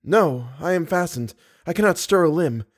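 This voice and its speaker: A man's voice: fairly high pitch, animated, moderate pace, pitched above the performer's own voice. A man voicing a frightened captive young woman in an audiobook, breathless, urgent and pleading. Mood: anxious, straining.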